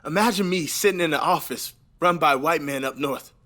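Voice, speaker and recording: A man's voice: on the high side, brisk, pushed and bright. Man acting an earnest, ambitious young newlywed husband. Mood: dreamy, fervent. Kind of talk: stage play audio reading.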